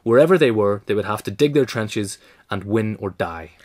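A man's voice: fairly low pitch, lively intonation, moderate pace, darkish tone. A man reading a scripted political essay as a narrator.

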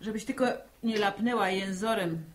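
An actress playing a sharp-tongued middle-aged village mother who nags in folksy rural phrases, in 1960s Polish radio drama style. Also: medium pitch, moderate pace, lightly acted. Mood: grumbling. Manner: nagging, exasperated, folksy rural turns of phrase.

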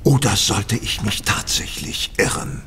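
An actor in a German audio drama playing a shrewd, commanding master detective with an incisive, formal, stern delivery. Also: male voice, fairly low pitch, animated, measured pace, bright, forceful tone, a little hiss or hum. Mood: wry, confident.

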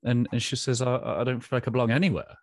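A man in his thirties hosting a podcast, casual and thoughtful, halting and rephrasing as he goes, close to a studio microphone. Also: mid-range voice, flat delivery, a little mellow, dry recording. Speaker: podcast host. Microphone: large studio microphone close up, headphones.

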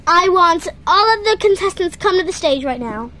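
A young girl performing every voice in a playful toy talent-show skit, bubbly and excitable. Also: extremely high pitch, moderate pace, a little hiss or hum. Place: indoors at home, small room.

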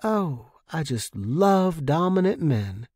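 A man's voice: on the high side, big pitch swings, slow, darkish tone, slightly rough, no echo, studio quality, voice pushed up, full character voice. A man voicing a teasing, playful female space freighter captain in a story. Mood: flirty teasing.

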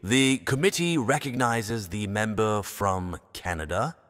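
A man's voice: lowish voice, measured pace, a bit bright. A man playing a pompous, authoritarian world president in an audio drama. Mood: placating. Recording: echoey hall, faint music behind.

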